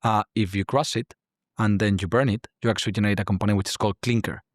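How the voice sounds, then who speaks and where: A man's voice: on the low side, fairly even intonation, quick pace, no echo, studio quality. A man from Spain with a Spanish accent, in his thirties, explaining his career and industry as a podcast guest, peppering his talk with "like" and "right?". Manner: constant filler "like" and tag "right?". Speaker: guest, climate tech investor. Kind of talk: casual interview talk.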